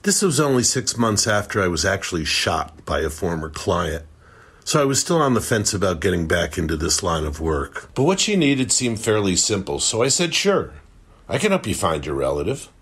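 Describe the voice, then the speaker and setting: A man's voice: low voice, big pitch swings, normal speed, a bit bright, husky, heavily acted. An actor playing a world-weary male private investigator, talking in a dry, musing, conversational way in an audio drama. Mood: wry, reflective. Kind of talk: audio drama interview testimony.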